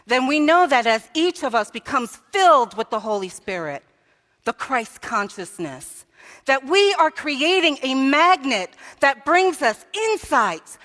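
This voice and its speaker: A woman minister with a fairly high voice delivers a sermon from the pulpit. She tells a story, asks rhetorical questions and rises to emphatic peaks. Her voice carries a long reverb from the church sanctuary. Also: fairly bright tone.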